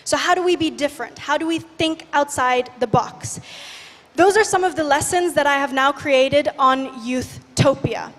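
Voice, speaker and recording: Young woman from Indonesia, early twenties, high voice, upbeat stage speaker, big hall echo. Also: normal speed. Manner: lively, guides the audience in breathing. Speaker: youth speaker. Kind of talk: energetic stage talk. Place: large conference hall stage. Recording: reverberant hall.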